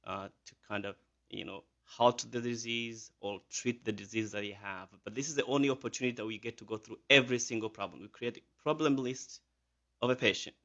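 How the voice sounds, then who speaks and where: A man's voice: mid-range voice, steady tone, fairly quick, fairly bright tone, muffled recording. A man in his thirties from Rwanda with a Rwandan accent, a physician answering radio interview questions with many fillers and restarts. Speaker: physician guest.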